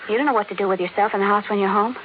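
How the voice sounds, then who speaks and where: A woman's voice: mid-range voice, very fast, phone-quality sound, close to an everyday voice. A woman playing an anxious, discontented wife and mother, earnest, in an old-time radio drama. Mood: unhappy, anxious. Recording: thin, noisy old radio recording.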